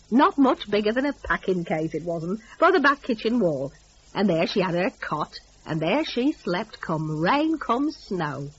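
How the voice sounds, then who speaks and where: A woman's voice: mid-range voice, breathy edge, lo-fi sound. A woman narrating a dramatic story in a chatty, gossipy, folksy dialect.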